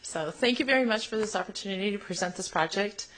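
A woman with a boomy voice, a traffic safety engineer presenting a project at a public meeting in an echoey chamber, upbeat and chatty. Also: fast, fairly bright tone.

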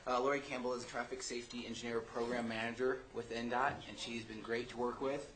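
A man on city staff briefs a transportation commission, pausing often with fillers. His voice is mid-pitched, with a faint hum of the meeting chamber behind him. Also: flat delivery, a bit bright, rough recording. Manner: halting, many fillers.

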